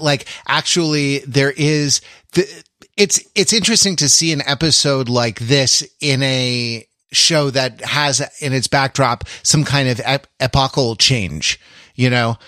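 A man co-hosting a podcast in casual discussion, halting and restarting his thoughts often. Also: medium pitch, pushed and bright, no echo, clean studio sound. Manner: halting, many fillers and restarts. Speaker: podcast co-host.

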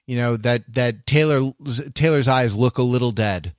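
A man hosting a podcast in a casual, wry way, haltingly circling back on his phrases. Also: mid-range voice, clear, resonant tone, no echo, clean studio sound. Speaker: podcast host.

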